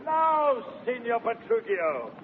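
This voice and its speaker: An actor playing an anxious older gentleman, a father, in 1930s radio Shakespeare. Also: very high voice, very animated, slow, full character voice. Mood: fussing, inquiring. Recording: tinny, noisy 1930s radio recording.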